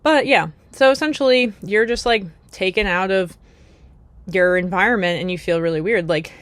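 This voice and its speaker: A woman's voice: fairly low pitch, no echo. Reflective American woman, dry close sound. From United States. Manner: thoughtful, many fillers and restarts. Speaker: vlogger. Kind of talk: casual reflective monologue.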